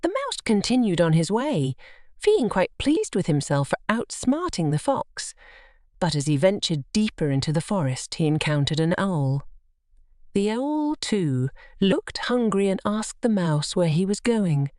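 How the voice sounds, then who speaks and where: Female children's storyteller: lowish pitch, animated reading, dry close sound. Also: a little slow, no echo. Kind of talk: scripted children's story narration.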